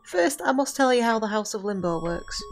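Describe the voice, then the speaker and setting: A woman playing a centuries-old witch in an audio drama, commanding and formal, with measured, theatrical phrasing as she mentors a novice. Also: a little high, lively intonation. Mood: grave, instructive. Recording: voice over prominent background music.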